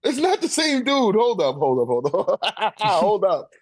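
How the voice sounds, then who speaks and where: A man's voice: high voice, very animated, unhurried, dry recording, clean studio sound. A man debating casually in profane slang, repeating his phrases for emphasis.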